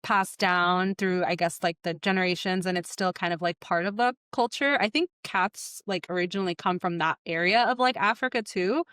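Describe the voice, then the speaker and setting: A woman's voice: on the low side, moderate pace, hardly any pauses, a bit bright, clear, resonant tone, no echo, clean studio sound. A young woman from Egypt, a podcast guest, talking casually and rambling, with heavy "like" fillers.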